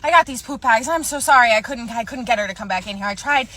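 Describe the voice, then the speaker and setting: A woman's voice: a little high, fast talker, fairly bright tone. A woman playing an outraged customer in a retail skit, brash and foul-mouthed as she rants. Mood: outraged, ranting. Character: outraged, loud woman customer who loves shaming people.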